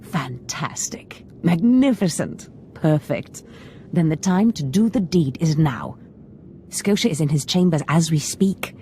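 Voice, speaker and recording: Dark sorceress mentor in a game, low velvety voice, scheming n theatrical. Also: female voice, animated, measured pace, a little hiss or hum. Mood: gloating. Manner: silky, theatrical, conspiratorial. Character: scheming, ambitious female Sith lord mentor. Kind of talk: video game voice acting.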